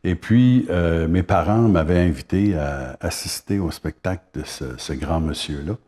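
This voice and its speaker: A Québécois man in his seventies with a very low, mellow voice speaks as a guest. He reminisces at an unhurried pace, pausing often on hesitations. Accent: Québécois French. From Gaspé Peninsula, Quebec. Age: 70s. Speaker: singer-songwriter guest. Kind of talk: casual interview chat.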